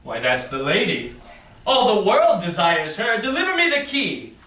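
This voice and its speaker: Man playing a proud prince, bright and declamatory. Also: high-pitched, huge pitch swings, heavily acted. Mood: proud, confident. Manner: declamatory, deliberating aloud with weighty pauses. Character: proud, self-assured prince of Morocco deliberating as suitor. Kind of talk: Shakespearean verse, staged reading. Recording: roomy, distant mic, faint noise.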